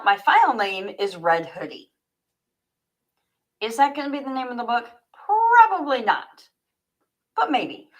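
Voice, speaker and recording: A woman livestreaming as an author, chatty and informal as she speaks straight to her viewers. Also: high-pitched. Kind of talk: casual livestream chat, then reading own fiction aloud.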